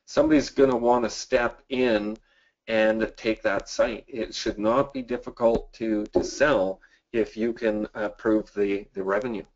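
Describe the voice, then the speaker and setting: A man's voice: on the low side, steady tone, a little slow. A man from Canada hosting a live sales webinar, answering chat questions in a casual, upbeat way with many fillers. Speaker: webinar host. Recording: dull lo-fi audio.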